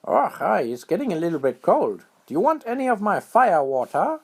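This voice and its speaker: A man voicing a small, excitable, timid squirrel in a children's bedtime story, with a squeaky, shrill, exclamatory delivery. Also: moderate pace, breathy edge, exaggerated voice. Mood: excited, friendly. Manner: exclamatory, repeats a greeting catchphrase.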